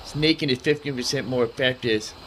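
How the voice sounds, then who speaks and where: A man's voice: medium pitch, a little fast, a bit bright, a little hiss or hum. A man giving casual live game commentary, thinking aloud in a folksy way and repeating his phrases. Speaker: gaming streamer.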